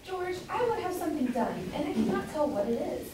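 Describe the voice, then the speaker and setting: A woman's voice: sits high, big pitch swings, brisk. A woman playing a meddling, chatty citizen's wife who comments on a play, in an amateur staged reading. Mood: meddling, eager. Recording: roomy, distant mic, faint noise.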